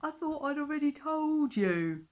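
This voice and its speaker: A woman doing a loud, bullying dinosaur. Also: high-pitched, big pitch swings, voice pushed up. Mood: bossy, shouting. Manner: loud, slow, rude and sarcastic. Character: big bullying boastful male dinosaur.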